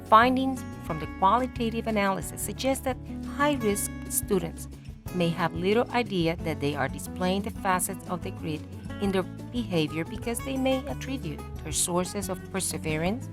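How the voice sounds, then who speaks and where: A woman's voice: mid-range voice, expressive, normal speed. A woman reading a scripted research narration in a formal, academic manner. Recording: music behind the voice. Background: music.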